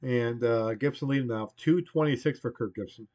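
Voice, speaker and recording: This is a man in his forties, host of a baseball replay, whose voice is worn hoarse by a lingering cold. He rambles loosely and often trails off mid-thought. The slightly muffled home recording makes his voice sound a bit dull. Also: medium pitch, moderate pace, mellow. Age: 40s. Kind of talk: casual play-by-play of a baseball game replay.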